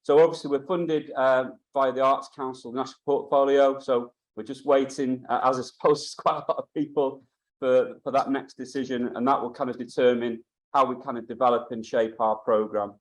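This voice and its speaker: A man welcoming a meeting from a theatre stage, talking in halting bursts with many restarts. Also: medium pitch, steady tone, rough recording. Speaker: venue manager.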